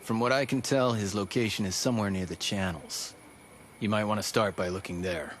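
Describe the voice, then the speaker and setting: An actor playing an earnest, helpful informant in a video game cutscene. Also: male voice, on the low side, a little fast, fairly bright tone, a little hiss or hum, lightly acted.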